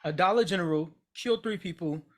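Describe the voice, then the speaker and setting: A man with a somewhat high-pitched voice co-hosts a casual conversation. He talks bluntly and profanely, retelling events with animated disbelief. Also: quick pace. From United States. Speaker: podcast co-host. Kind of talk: casual chat.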